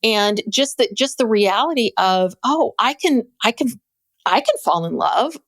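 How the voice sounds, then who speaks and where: A woman co-hosting a casual podcast, talking in animated bursts with frequent restarts and laughter. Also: on the high side, unhurried, dry recording, clean studio sound. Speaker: podcast co-host.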